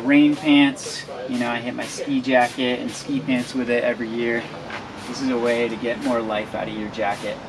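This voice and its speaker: A man in his thirties giving a casual how-to explanation as an outdoor vlogger. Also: medium pitch. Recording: clear ambient noise behind voice.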